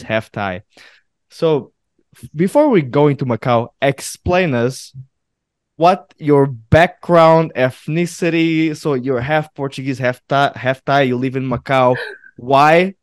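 A man's voice in a middle pitch, recorded dry and close with no room echo. He hosts a casual podcast in an animated, laughing manner and stresses points by saying them twice. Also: a little slow. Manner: animated, laughing, repeats phrases for emphasis. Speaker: podcast host.

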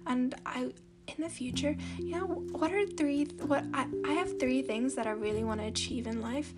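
A teenage girl with a soft, youthful, baby-like voice, hosting a podcast at home in a chatty, meandering way with lots of "like" and restarts. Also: high voice, normal speed. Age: teens. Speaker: podcast host. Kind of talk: casual rambling podcast monologue. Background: loud guitar music.

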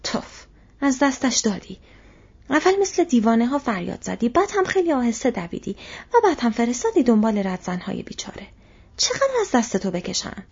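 A woman plays an insolent male orc tracker from a fantasy audiobook, scoffing and defiant. The voice is very husky and breathy, pitched fairly high, on a dull, muffled recording. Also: expressive, a little mellow, exaggerated voice. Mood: mocking. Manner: scoffing, quarrelsome. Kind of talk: audiobook character voice.

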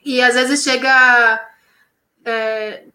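A woman, a science communicator talking casually and animatedly in an interview, with quick slangy phrasing. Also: on the high side, flat delivery, sharp tone, roomy sound.

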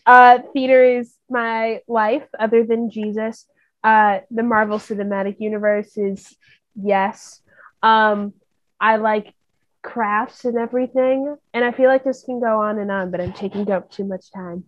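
A young woman chatting casually about herself as a guest on a video call, with quick self-aware asides. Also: on the high side, steady tone, no room sound, muffled recording. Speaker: guest, group leader.

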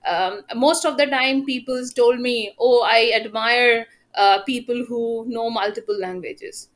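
Higher-voiced Indian woman, earnest. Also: measured pace. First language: Bengali. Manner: earnest, explanatory, with frequent restarts. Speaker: economics lecturer, guest. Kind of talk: casual chat.

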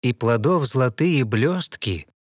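A man narrating a fairy tale in verse as scripted audio storytelling, with measured, expressive recitation. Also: medium pitch, no echo, clean studio sound. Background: soft music.

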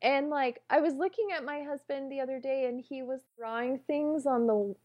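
A woman co-hosting a podcast, telling everyday stories in an animated way and laughing often. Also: sits high, moderate pace, highs cut off. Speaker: podcast co-host. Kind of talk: casual podcast chat.

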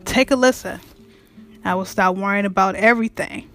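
A woman with a raspy voice and speech, hosting her own show in a blunt, frank monologue that swears freely. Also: mid-range voice, normal speed, a bit bright, faint music behind. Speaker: podcast host. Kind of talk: casual monologue.

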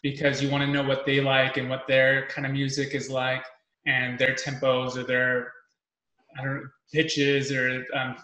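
A man in his thirties hosting a casual podcast interview, talking quickly and rambling, full of fillers and restarts. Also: medium pitch, completely flat delivery, sharp tone, roomy sound, rough recording. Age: 30s. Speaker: podcast host.

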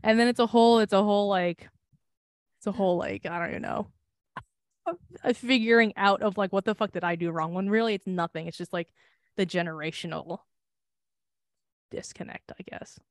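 A young woman co-hosting a casual podcast, talking in long, loose, candid stretches. She swears often and laughs easily. Her pitch sits in the middle for a woman, and the recording is dry and close. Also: brisk, pauses often, dry recording. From United States. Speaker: podcast co-host. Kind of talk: casual chat.

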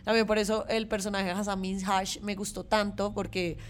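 A woman's voice: medium pitch, level tone, moderate pace. Colombian woman chatting casually, thinking aloud. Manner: informal, hesitant, repeats words while thinking. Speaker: podcast co-host. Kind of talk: casual chat about pop culture.

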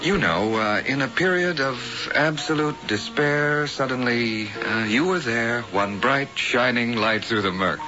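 An actor playing a smooth-talking, earnest romantic gentleman in old-time radio style. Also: mid-range voice, lightly acted. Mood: flirtatious. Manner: earnest, dramatic pauses. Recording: dull old radio recording, loud music behind.

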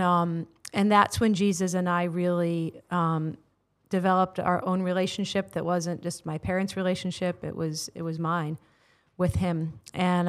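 An American woman in her forties, a guest on a podcast, telling her faith story earnestly with many filler words. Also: lowish voice. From United States. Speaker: guest, prayer ministry leader. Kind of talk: personal testimony in interview. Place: studio.

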